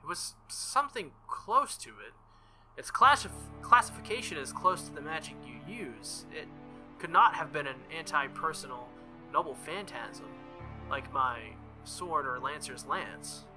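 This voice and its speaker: A man voicing a formal, proud young woman knight with a calm, measured manner in a visual novel. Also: on the high side, over music, poor audio. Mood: apologetic, measured.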